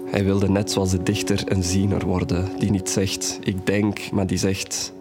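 A man's voice: low voice, level tone, a little slow, loud music behind the voice. An actor playing a grieving, candid young man telling of his troubled past in an audio drama. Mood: grieving, earnest. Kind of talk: audio drama acting, hesitant storytelling.

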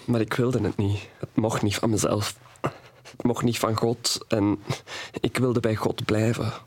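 An actor playing a badly injured young man in an audio drama, confiding gently and haltingly in a weakened state. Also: fairly low pitch, little pitch movement, breathy edge. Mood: confessional, wistful. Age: young adult. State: weak from injury. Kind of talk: Dutch audio drama acting, intimate monologue.

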